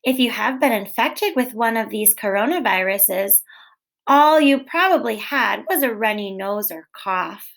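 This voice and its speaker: A woman teacher reading a children's book aloud in a gentle, clear, expressive way, posing questions to young listeners. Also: fairly high pitch, measured pace. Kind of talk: read-aloud storytelling for children.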